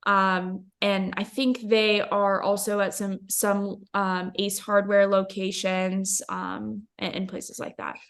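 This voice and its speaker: Woman representative answering questions, mid-pitched. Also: little pitch movement, slow, creaky, few pauses, a bit bright. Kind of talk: informal product question-and-answer.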